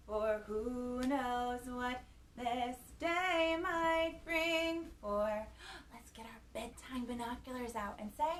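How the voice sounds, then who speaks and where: A woman with a high voice reads a picture book to young children as a storytime host. She is expressive and animated, with gasps and questions for the little ones. The room is echoey, with faint noise behind her.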